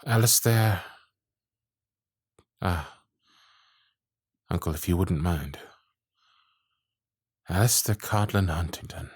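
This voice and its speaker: A man playing an earnest, lovestruck young gentleman with a tender, halting, pleading delivery in a romantic audio roleplay. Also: low-pitched, husky, no room sound, clean studio sound, exaggerated voice. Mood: loving, nervous. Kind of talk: romantic ASMR roleplay acting.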